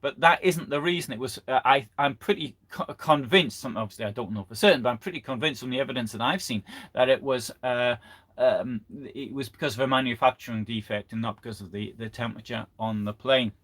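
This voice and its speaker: A man presenting history in an unscripted live lecture, halting and often restarting his phrases. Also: medium pitch, a bit bright. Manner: halting, repeats and restarts phrases mid-sentence. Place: live online broadcast.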